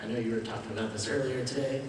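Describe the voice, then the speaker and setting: A man's voice: medium pitch, steady tone, very fast. A man hosting a live panel in a casual, informal and slightly hesitant way. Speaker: panel moderator. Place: large convention panel hall. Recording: echoey hall, distant, noisy crowd.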